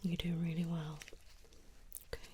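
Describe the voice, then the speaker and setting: A woman whispering softly in an ASMR medical roleplay, playing a doctor who gives gentle, reassuring instructions. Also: on the low side, completely flat delivery, normal speed, a little hiss or hum. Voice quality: soft whisper.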